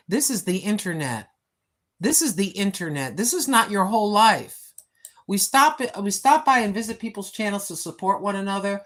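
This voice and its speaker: A woman's voice: mid-range voice, a bit bright. A woman in her sixties chatting casually on a live stream from home, rambling with many fillers and talking straight to her viewers. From United States. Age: 60s. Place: home, seated. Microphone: phone.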